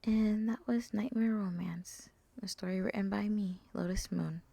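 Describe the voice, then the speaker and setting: Mellow, creaky woman narrating a story. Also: mid-range voice, fairly even intonation, measured pace, dry recording. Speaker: narrator and author.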